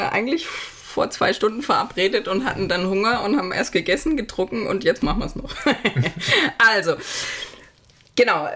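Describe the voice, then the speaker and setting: A woman's voice: mid-range voice, fairly bright tone. A woman hosting a podcast, asking questions in a playful, casual tone. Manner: playful, laughs easily. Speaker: podcast host. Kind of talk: casual interview.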